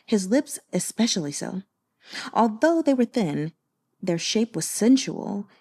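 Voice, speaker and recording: A woman's voice: medium pitch, dark tone. A woman reading an audiobook as narrator, telling the story expressively.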